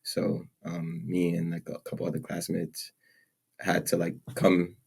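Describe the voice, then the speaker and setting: A young man born in Nigeria, co-hosting a podcast in relaxed casual chat with frequent fillers and laughs. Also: deep voice, a little slow, gravelly, husky. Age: young adult. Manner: laid-back, laughs often, many "like" fillers. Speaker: podcast co-host. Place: room, seated in chairs.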